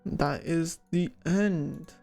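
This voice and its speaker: Streamer mumbling casual bits while gaming, fry in the voice, music going behind. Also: medium pitch, extremely slow. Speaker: game streamer. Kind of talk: casual muttered gameplay commentary.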